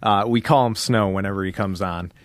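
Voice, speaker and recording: A man guesting on a podcast, telling casual stories in an animated way and acting out what people said. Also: fairly low pitch, fairly quick, no echo, studio quality. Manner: animated, acts out quotes. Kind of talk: casual storytelling chat.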